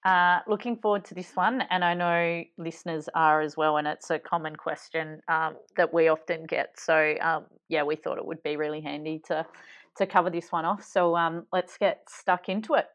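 A woman's voice: deep voice, steady tone, moderate pace, fairly bright tone. A woman co-hosting a podcast, speaking in an upbeat, loose way. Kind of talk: casual chat.

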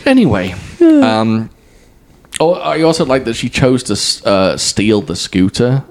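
Gravelly young Welsh man, lively. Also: medium pitch, measured pace, slight background noise. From Wales. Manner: laughs often, animated storytelling. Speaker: podcast co-host. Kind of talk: casual film review chat.

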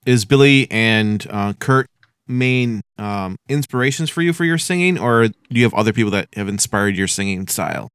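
Smooth-voiced man hosting an interview. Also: lowish voice, expressive, normal speed, a bit bright, no room sound, studio quality. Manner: conversational and encouraging, asking loose follow-up questions. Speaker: interview host. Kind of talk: casual interview conversation.

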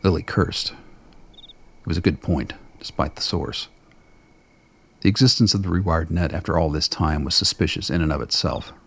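A man with a gravelly, husky voice narrating a scripted dramatic story. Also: sits low, normal speed, long pauses.

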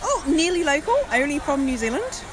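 A woman with an English accent, chatting excitedly in an outdoor interview at a park event. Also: high voice, a little fast, crowd noise, background noise. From England. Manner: bubbly, enthusiastic. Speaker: interviewed parkrunner.

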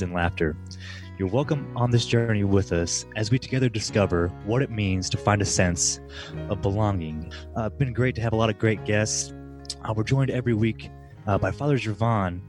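A young man from Middle Tennessee hosting a faith radio talk show, friendly and casual, with frequent "uh" fillers. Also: fairly low pitch. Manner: friendly, fills pauses with "uh", laughs easily.

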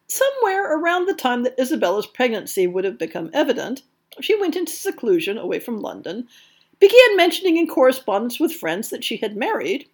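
A woman podcast host reading a scripted historical narrative in a measured, careful storytelling manner. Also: fairly high pitch, breathy edge.

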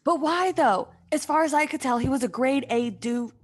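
A woman's voice: sits high, normal speed, slightly rough. A woman playing a blunt, exasperated, foul-mouthed young friend in a comedic radio play, with modern slang and sassy emphasis. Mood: scornful.

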